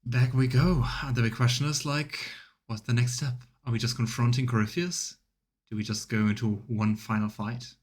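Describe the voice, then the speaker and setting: A man hosting a game let's play, thinking aloud in casual commentary full of fillers and quick reactions. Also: medium pitch, steady tone, slightly rough. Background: loud game music.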